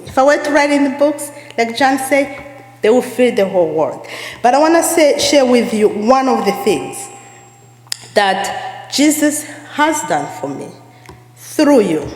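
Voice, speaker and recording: A woman's voice: medium pitch, darkish tone. A woman from Rwanda giving an earnest sermon as a guest speaker, repeating phrases for emphasis. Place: church sanctuary. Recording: echoey church hall.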